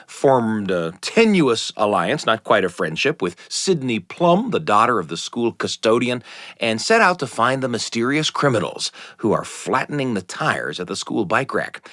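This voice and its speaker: Animated male host, very dry sound. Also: mid-range voice, no echo. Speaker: podcast host. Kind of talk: scripted storytelling introduction.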